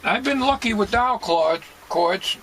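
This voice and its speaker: An older man talking casually as he works at a bench in a home workshop, plain-spoken and musing, with halting delivery. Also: sits high, lively intonation, a bit bright. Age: 60s or older. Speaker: hobbyist radio restorer. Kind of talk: casual talking while working. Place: cluttered home electronics workshop, indoors. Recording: noisy home workshop recording.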